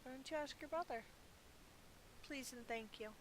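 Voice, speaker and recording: A woman's voice: high-pitched, normal speed. A woman giving casual live gameplay commentary as a streamer, chatty and thinking aloud. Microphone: budget gaming mic. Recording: faint hiss from a cheap microphone.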